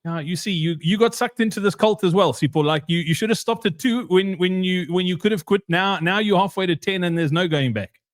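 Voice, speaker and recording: A man with a South African accent and a notably high voice hosts a podcast. He talks in a chatty, informal way, repeating phrases as he goes. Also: brisk. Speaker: podcast host. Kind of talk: casual podcast interview.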